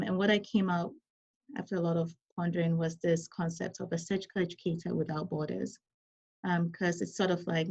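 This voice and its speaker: A woman's voice: on the low side, vocal fry, a little mellow. A woman, a surgeon giving a conversational lecture over a video call with many ums and apologetic asides. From Ghana. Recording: dull lo-fi video call audio.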